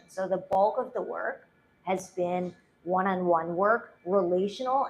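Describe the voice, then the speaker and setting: A soft-spoken woman with a fairly low voice presents her coaching work in a calm, measured way. A faint hiss sits under her voice. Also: rough recording. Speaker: presenting founder. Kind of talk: pitch presentation and Q&A.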